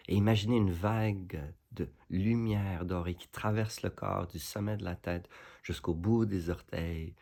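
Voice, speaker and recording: A man leading a guided relaxation meditation as a yoga nidra instructor, giving soothing, unhurried instructions with pauses. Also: low-pitched, a little mellow.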